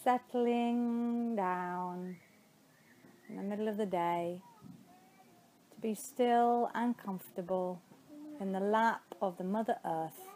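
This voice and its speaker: A woman teaching yoga nidra, guiding a meditation in a soothing, unhurried way with spacious pauses between phrases. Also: mid-range voice, warm tone, slight background noise. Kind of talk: guided meditation.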